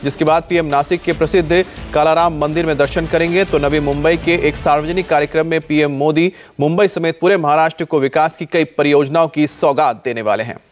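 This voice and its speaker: A man reading scripted news in a steady, formal delivery. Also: on the high side, brisk, hardly any pauses, soft background music. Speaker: news anchor.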